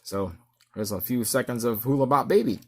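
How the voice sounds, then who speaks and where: Middle-aged man, halting and rambling. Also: mid-range voice. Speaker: amateur musician presenting his record. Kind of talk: casual show-and-tell vlog.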